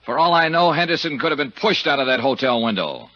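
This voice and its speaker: An American actor playing a tough, dry-witted insurance investigator in a 1950s radio drama, clipped and sardonic. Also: male voice, medium pitch, big pitch swings, quick pace, pushed and bright, no echo. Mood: insistent. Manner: clipped, hard-boiled, sardonic. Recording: muffled 1950s radio recording.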